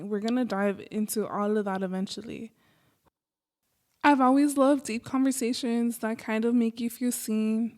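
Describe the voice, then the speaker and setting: A woman's voice: a little high, a little mellow. An American woman from Los Angeles hosting a podcast solo, talking in a casual, chatty and easygoing way. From Los Angeles, United States. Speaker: podcast host.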